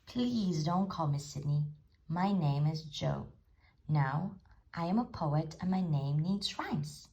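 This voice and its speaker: A woman's voice: deep voice, expressive, slow, darkish tone, dull audio, heavily acted. A woman voicing an earnest, eager male pig who loves rhymes, declaiming as in a storybook. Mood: pleading.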